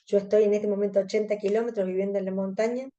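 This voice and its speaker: A woman's voice: mid-range voice, level tone, racing, mellow, lo-fi sound. A woman with a Cordobese accent from Argentina, presenting an educational webinar and explaining things to fellow specialists. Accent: Cordobese Argentine Spanish. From Córdoba, Argentina. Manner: explanatory, addressing colleagues. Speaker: presenter, contact lens specialist.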